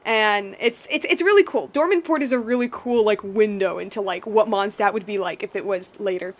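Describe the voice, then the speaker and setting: A woman giving casual, playful commentary as she plays through a game, rambling and excitable. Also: medium pitch, a little fast. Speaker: gaming streamer. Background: game music.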